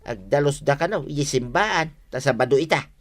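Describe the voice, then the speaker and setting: A man's voice: mid-range voice, animated, normal speed, natural voice. A man playing an easygoing farm worker in a comedy radio drama, plain, agreeable and conversational.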